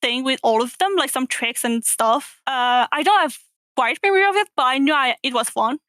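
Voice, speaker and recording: A woman's voice: sits high, moderate pace, a bit bright, no room sound. A woman from France with a French accent, speaking English as a second language, chatting hesitantly as a podcast guest with many fillers. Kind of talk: casual interview chat.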